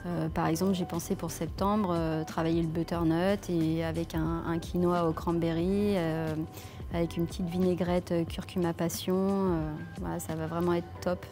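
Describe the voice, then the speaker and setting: Hesitant woman chef over soft music. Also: on the low side, little pitch movement, moderate pace. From France. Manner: hesitant, many fillers and restarts. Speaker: head chef. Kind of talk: filmed interview answers. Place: leafy outdoor restaurant courtyard. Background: soft background music.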